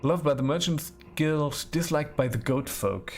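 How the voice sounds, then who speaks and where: Creaky-voiced man streaming a game. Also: fairly high pitch, a little slow, faint music behind. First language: German. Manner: musing, thinking aloud, repeats words. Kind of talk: casual game commentary, chatting with viewers.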